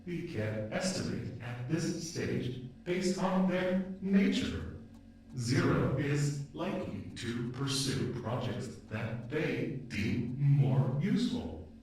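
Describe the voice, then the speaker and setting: A man playing an ageing, humanlike AI with a failing memory in an audio drama, speaking haltingly and wearily. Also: medium pitch, a little mellow. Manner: slow, halting, repeats words. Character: ageing experimental humanlike AI, weary and grieving, losing memory. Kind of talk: dramatic audio roleplay monologue. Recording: very echoey, distant from the mic.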